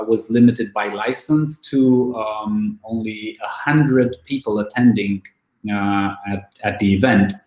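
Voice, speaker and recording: Halting man in an echoey room. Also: on the low side, darkish tone. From Romania. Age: 30s. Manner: halting, many fillers and restarts. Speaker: event organizer. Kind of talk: casual online interview.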